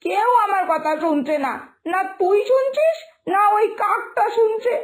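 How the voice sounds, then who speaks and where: A woman's voice: animated, unhurried. A woman voicing an exasperated, superstitious village mother who scolds and wails in a cartoon fairytale. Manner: shrill scolding, wailing complaints.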